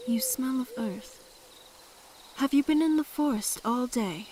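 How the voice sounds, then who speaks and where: A mysterious woman of the woods played by a voice actress speaks in a fairly high voice with formal, measured, old-fashioned phrasing. She is calm and instructive, in audio drama style, with faint noise and crickets behind her. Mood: questioning, curious.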